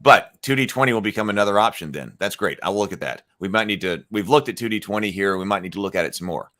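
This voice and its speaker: A man's voice: on the low side, lively intonation, fast talker, fairly bright tone. A man hosting a casual live stream, thinking aloud with frequent restarts and fillers and answering viewers' chat. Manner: reads viewer chat comments aloud and replies. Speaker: livestream host.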